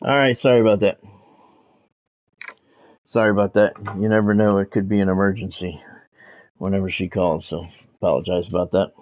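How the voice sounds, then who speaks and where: A man's voice: sits low, fairly even intonation, brisk, pauses often, darkish tone. Middle-aged man, casual sports streamer. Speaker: livestream host, game commentator. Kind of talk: casual stream chat with sports play-by-play commentary.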